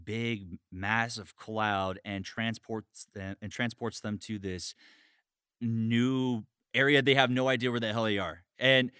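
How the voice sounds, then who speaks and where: A man hosting a casual podcast interview, bantering and excitable, swearing freely. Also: fairly low pitch, slow pace, clean tone, no echo, studio quality. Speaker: podcast host.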